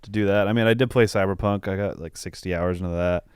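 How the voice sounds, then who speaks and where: A man co-hosting a podcast, chatting casually and thinking aloud in loose, halting sentences. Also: low voice, fairly even intonation, darkish tone, clear, resonant tone, no room sound, studio quality. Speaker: podcast co-host. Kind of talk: casual chat, film review.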